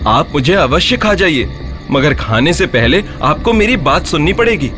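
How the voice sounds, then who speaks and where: A man voicing a brave, clever young village husband, polite and persuasive, in an animated story. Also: a little high, moderate pace, music in the background.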